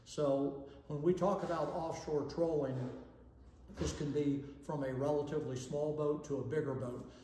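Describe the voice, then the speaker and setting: A man's voice: a little high, steady tone, moderate pace, mellow, a little hiss or hum. An older man giving a fishing seminar to camera, explaining in a folksy, instructional way and checking in with "okay?". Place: indoor room with fireplace and banner. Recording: echoey room, long reverb.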